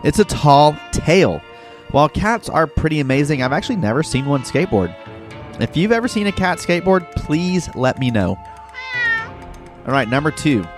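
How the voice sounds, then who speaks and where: A man's voice: a little high, sing-song, moderate pace. A man hosting an educational podcast for children, speaking directly and encouragingly to young listeners as he presents facts and games. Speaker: host of a kids' educational podcast. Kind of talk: scripted narration and game hosting for children. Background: background music.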